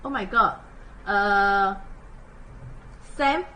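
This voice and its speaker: A woman's voice: mid-range voice, very slow, slight background noise. A woman from Singapore with a Singaporean English accent, teaching a math lesson on a livestream in a chatty manner with local particles. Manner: chatty, animated, uses local particles like lah and leh. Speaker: math tutor.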